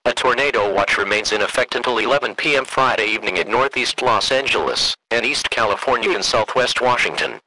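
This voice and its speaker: A synthetic male announcer reading a scripted emergency weather warning in a formal, measured way. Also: mid-range voice, lively intonation. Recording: noisy radio-style alert audio.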